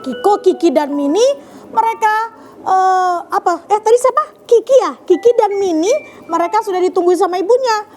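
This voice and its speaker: A woman's voice: very high-pitched, moderate pace, a little mellow. A woman storyteller addressing young children, narrating in an interactive way and checking in with her listeners. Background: music.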